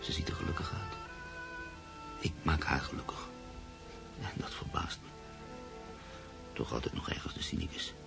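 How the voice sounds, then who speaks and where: A man's voice: very deep voice, normal speed, gravelly, long pauses, over music, heavily acted. An actor playing a brooding, cynical man in love who narrates a radio drama. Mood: brooding, reflective.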